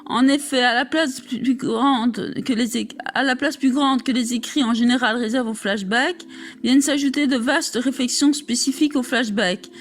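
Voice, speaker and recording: High-pitched woman reading aloud. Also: fairly even intonation, normal speed, soft background music. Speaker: reader of an academic text. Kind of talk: reading a scholarly text aloud.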